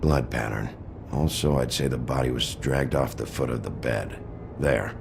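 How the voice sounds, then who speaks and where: An actor playing a gruff, brooding male detective in a noir video game, with a gravelly voice and a terse, deadpan, authoritative delivery. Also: a bass voice, normal speed, noisy background. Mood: grim, analytical. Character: gruff, brooding wolf detective in human form.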